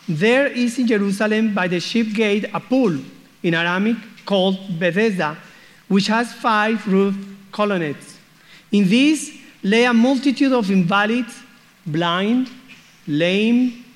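A man's voice: high voice, long reverb tail. A man with a Spanish accent, a native Spanish speaker, preaching as guest speaker and reading scripture aloud, playfully asking the congregation questions. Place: church hall.

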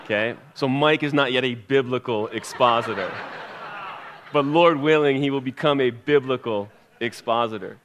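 A man's voice: mid-range voice, moderate pace, background noise. A man introducing a guest preacher at church with rambling jokes and many fillers. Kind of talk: humorous introduction.

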